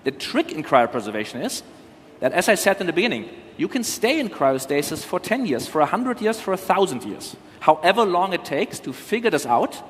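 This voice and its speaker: A man with a somewhat high voice speaks from a conference stage. He explains carefully, hedging his claims as a panel speaker does, and the big room adds a long reverb. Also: sing-song, brisk, a little hiss or hum. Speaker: panel speaker, cryopreservation company representative. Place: large conference hall. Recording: reverberant hall sound.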